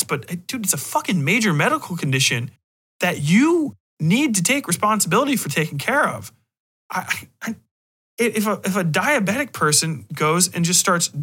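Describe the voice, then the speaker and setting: A man's voice: sits high, bright, forceful tone, husky. A man hosting a podcast, talking casually and emphatically with blunt opinions and frequent swearing. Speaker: podcast host.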